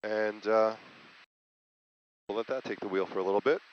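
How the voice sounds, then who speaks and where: A man with a fairly low voice chats casually as a pilot hosting a flight. He speaks through a headset in a small jet cockpit, with muffled sound and clear engine noise behind him. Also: moderate pace. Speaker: pilot, host of flight vlog. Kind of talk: casual cockpit chat, asking questions. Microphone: aviation headset.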